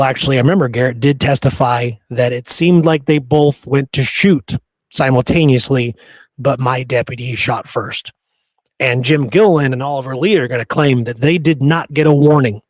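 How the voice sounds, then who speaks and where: A man's voice: medium pitch, dry recording. A man talking casually about history as a podcast host, repeating phrases for emphasis.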